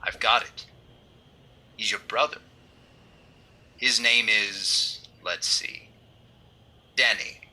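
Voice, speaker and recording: A man's voice: lowish voice, pushed and bright, exaggerated voice. A man playing an uncanny, mocking, mind-reading avenger in theatrical 1930s radio drama style. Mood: taunting, probing. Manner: slow, taunting, theatrical.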